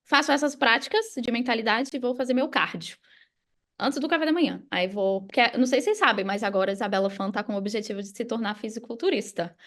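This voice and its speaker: A woman's voice: on the high side, fast, fairly bright tone. A Brazilian woman speaking as a podcast guest and coach, explaining things in a casual conversational way. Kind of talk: casual explanatory interview talk.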